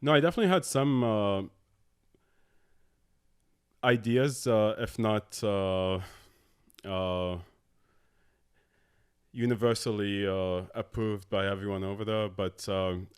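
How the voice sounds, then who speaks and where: A man in his thirties from Abu Dhabi, a tech co-founder talking as a podcast guest in a halting way with many filler sounds. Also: on the low side, clean tone. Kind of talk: casual interview talk.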